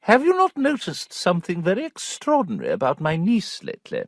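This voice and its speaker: A male narrator voicing a prim, knowing middle-aged gentlewoman aunt, speaking slowly and deliberately with a superior air. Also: sits high, wildly swooping pitch, dry recording, clean studio sound, voice pushed up, full character voice. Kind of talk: audiobook character voice.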